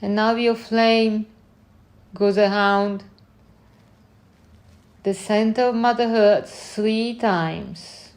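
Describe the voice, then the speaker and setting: Slow-paced woman guiding a meditation. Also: medium pitch, fairly even intonation. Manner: gentle, unhurried short phrases with long pauses. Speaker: meditation guide. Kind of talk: guided meditation.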